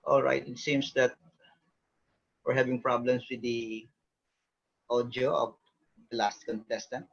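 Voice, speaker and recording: Man, contest host, slow formal announcing, creaky voice, online call. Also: mid-range voice, flat delivery. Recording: muffled online video call.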